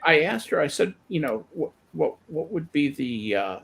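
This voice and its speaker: Man from belgium, lively swinging pitch, gravelly voice, chatty. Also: normal speed. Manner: animated storyteller who stumbles on words. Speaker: podcast co-host. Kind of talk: casual chat.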